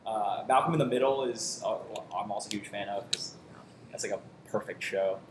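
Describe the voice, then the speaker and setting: A man in his twenties from Maryland, chatting casually as a guest on a podcast. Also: a little fast. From Maryland, United States. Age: 20s. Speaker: podcast guest, improv comedian. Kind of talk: casual chat. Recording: roomy echo, faint low rumble.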